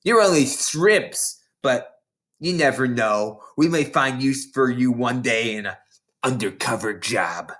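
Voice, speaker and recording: A man's voice: mid-range voice, expressive, unhurried, a bit bright. A man voicing a boastful, battle-hardened schoolgirl prankster who tells dramatic war stories from a children's storybook. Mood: dismissive.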